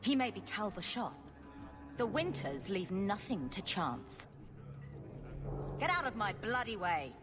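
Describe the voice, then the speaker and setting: Game role: mercenary commander, mid-pitched, curt, haughty, commanding, in long reverb. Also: female voice, sing-song, a little slow, long pauses, over music. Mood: impatient. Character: brusque, haughty female mercenary captain. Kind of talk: video game acting. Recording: hall reverb, faint noise.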